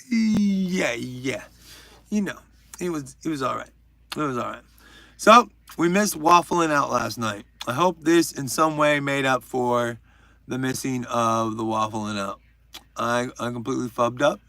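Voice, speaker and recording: A gravelly, fry-laden man with a drawl talking to his viewers in a loose, rambling stream. He swears freely and jokes around, and his voice sits close and dry, with no room sound. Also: mid-range voice, sharp tone, no room sound. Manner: rambling, profane, joking with chat. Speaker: livestream host. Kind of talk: casual livestream chat. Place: at home.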